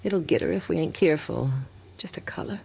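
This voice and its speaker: A woman voicing a dying old farmer, dazed with horror, in halting rustic fragments and breathy croaks for a horror audiobook. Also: deep voice, expressive, warm tone, voice pushed up, heavily acted. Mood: weak, warning. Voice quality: breathy, crackling whispers and croaks. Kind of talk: dramatic horror audiobook reading.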